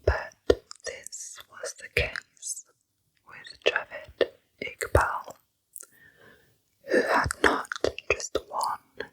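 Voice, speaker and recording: A woman narrating true crime in ASMR style, in a hushed, breathy whisper with deliberate storytelling. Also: low-quality recording. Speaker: narrator, ASMR channel host.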